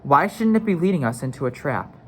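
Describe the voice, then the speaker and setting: A man's voice: fairly high pitch, big pitch swings, fairly quick, a bit bright, slight background noise. A man voicing a sullen, suspicious, argumentative schoolboy in a storybook, with pointed, challenging questions.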